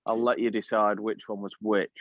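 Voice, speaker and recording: Chatty bloke, dull dry sound. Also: male voice, on the low side, level tone, normal speed, no room sound. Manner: rambling anecdote-telling with many fillers. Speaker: podcast co-host. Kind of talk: casual chat.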